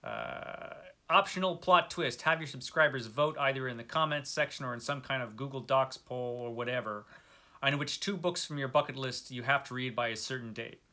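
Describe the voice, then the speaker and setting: Bright-voiced man chatting casually, wryly self-deprecating. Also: mid-range voice, normal speed. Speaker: host of a book review video channel. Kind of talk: casual chat to camera about books.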